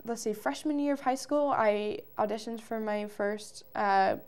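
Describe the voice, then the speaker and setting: Casting: young American woman, early twenties, smooth clear voice, chatty informal interview guest. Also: fairly high pitch, unhurried, a little mellow. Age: early 20s. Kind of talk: casual interview answers.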